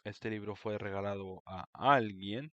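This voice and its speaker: A man's voice: on the low side, measured pace, fairly bright tone, dry recording, dull audio. A Mexican-American man from Florida talking casually and unhurriedly, with many small fillers, about a history book. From United States (Florida), Mexican roots.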